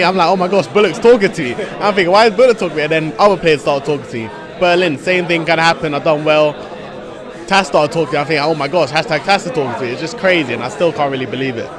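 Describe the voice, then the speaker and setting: Give me an eager eighteen-year-old esports player, slightly high-voiced, talking over a busy crowd. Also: male voice. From London. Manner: excited, fast-talking, loose slang. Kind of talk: casual interview answers. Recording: noisy crowd behind. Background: crowd chatter.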